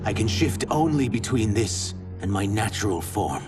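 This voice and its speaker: A man's voice: fairly low pitch, expressive, normal speed, loud music behind the voice, close to an everyday voice. A man playing a tormented reptilian shapeshifter in a video game cutscene, speaking with grave, bitter feeling. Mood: grim, resigned. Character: tormented male reptilian shapeshifter enslaved by a sorcerer.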